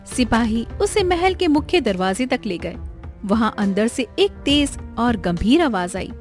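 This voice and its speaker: High-voiced woman narrating a story. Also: big pitch swings, measured pace. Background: background music.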